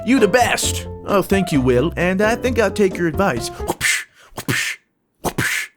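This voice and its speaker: A man hosting a casual vlog, upbeat and energetic as he reads viewer comments. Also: high-pitched, unhurried, over music. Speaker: YouTube gaming channel host.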